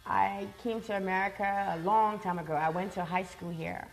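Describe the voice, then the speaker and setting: A woman's voice: medium pitch, steady tone, moderate pace, vocal fry, poor audio. Earnest woman from Liberia, emphatic. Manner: earnest, repeats herself for emphasis. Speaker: guest. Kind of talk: casual chat.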